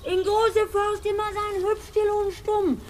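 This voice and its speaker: An actress playing a grumpy, proud, fat cook in a 1950s radio play, scolding in folksy broken grammar. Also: female voice, very high-pitched, level tone, slow pace, darkish tone. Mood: scolding, loud. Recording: noisy old radio play recording.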